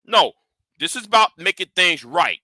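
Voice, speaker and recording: High, forceful man ranting at his critics. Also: big pitch swings, moderate pace, no room sound, studio quality. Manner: confrontational and profane, repeats and restarts words; challenging his audience directly. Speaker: YouTube commentator. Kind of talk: ranting commentary vlog.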